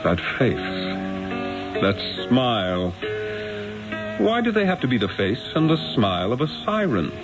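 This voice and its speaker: An actor playing a wily, eloquent Renaissance painter in a radio drama, speaking in a theatrical, persuasive, rhetorical way. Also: male voice, medium pitch, wildly swooping pitch, measured pace, pauses often. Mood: wry, brooding. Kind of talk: old-time radio drama acting and first-person narration. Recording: dull lo-fi radio recording, music behind.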